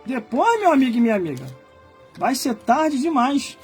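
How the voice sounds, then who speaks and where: High-pitched preaching man, shouting. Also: sing-song, moderate pace, faint music behind. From Brazil. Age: 40s. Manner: swings from low muttering asides to high shouted preaching. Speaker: pastor. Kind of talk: fiery Pentecostal-style sermon.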